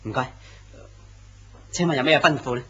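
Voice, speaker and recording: An actor playing a nervous, deferential young office worker in a radio drama. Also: male voice, mid-range voice, moderate pace, pushed and bright, breathy edge. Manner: deferential, anxious. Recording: dull lo-fi recording.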